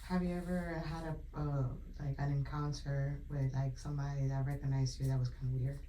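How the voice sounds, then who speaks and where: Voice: mid-range voice, flat delivery, a little fast, mellow. Interviewer in an echoey room. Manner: short prompts and brief backchannels. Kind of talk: casual interview. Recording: echoey room with faint noise.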